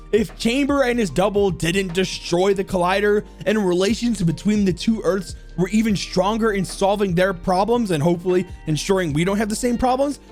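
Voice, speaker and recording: A man narrating an enthusiastic countdown commentary in an informal, emphatic, animated way. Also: high-pitched. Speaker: video host and narrator. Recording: music bed behind voice.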